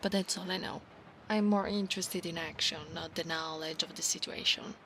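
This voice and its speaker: A woman with an Italian accent playing a proud, obsessive female centaur knight in a soft-spoken, formal ASMR roleplay, often dropping into breathy whispers. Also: lowish voice, fairly even intonation, normal speed, poor audio. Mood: calm, proud. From Italy. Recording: clear background noise, ambience.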